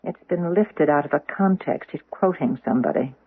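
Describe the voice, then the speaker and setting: An actress playing a sharp-witted, bookish older American woman in a radio drama, speaking precisely with wry emphasis. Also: low voice, animated, normal speed, slightly rough, phone-quality sound, heavily acted. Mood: probing, thoughtful. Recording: thin band-limited old radio recording.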